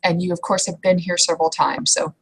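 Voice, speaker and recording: A woman narrating a tabletop roleplaying game as game master in a halting, conversational, improvised way. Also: lowish voice, flat delivery. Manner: halting, conversational, piecing descriptions together. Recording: clear background noise.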